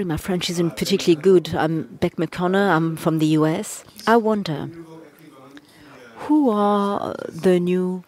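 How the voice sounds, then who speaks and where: Hesitant conference interpreter, middle pitch. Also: darkish tone. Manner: hesitant, frequent uh fillers and restarts. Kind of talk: simultaneous interpretation of audience questions.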